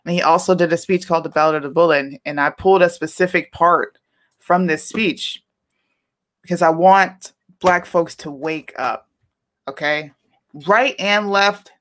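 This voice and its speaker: A woman's voice: sits low, fairly bright tone. A woman co-hosting a casual political chat, speaking emphatically and conversationally. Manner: emphatic, conversational, leans on "listen" and "okay". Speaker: podcast co-host.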